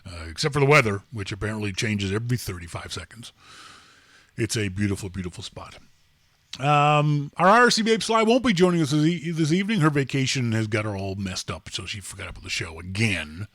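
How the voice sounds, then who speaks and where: A man's voice: fairly low pitch, lively intonation, brisk, a bit bright, dry recording. A man hosting a live tech podcast, rambling and joking in casual chat with many fillers and restarts. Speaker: podcast host.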